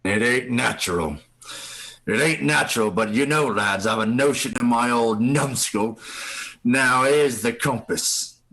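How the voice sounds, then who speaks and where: An actor playing a wily old pirate ringleader in a live radio play, with gravelly, folksy seafaring speech. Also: male voice, mid-range voice, unhurried, fairly bright tone. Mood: musing, commanding.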